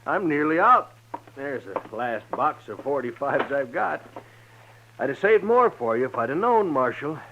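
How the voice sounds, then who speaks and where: An American actor playing a jittery, earnest small-town storekeeper in a 1950s radio western, fussy, folksy and excitable. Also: male voice, medium pitch, measured pace, background noise. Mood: anxious, earnest. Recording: muffled 1950s radio recording.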